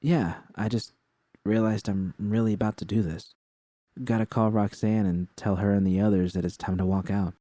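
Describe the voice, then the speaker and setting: A man's voice: fairly low pitch, fairly even intonation, moderate pace, warm tone, dry recording, natural voice. A man voicing a wry young woman with a dry, teasing, casual manner in an audio story. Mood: resigned. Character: Judy, wry, foul-mouthed young woman, studio owner. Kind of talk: character dialogue in audio fiction.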